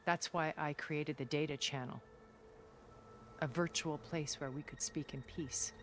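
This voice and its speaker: An actress voicing a cultured, centuries-old woman recluse in a video game cutscene, speaking in a calm, deliberate and elegant way. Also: low voice, background noise. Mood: measured. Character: cultured, ancient woman recluse, measured and regretful. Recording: game cutscene with music underneath.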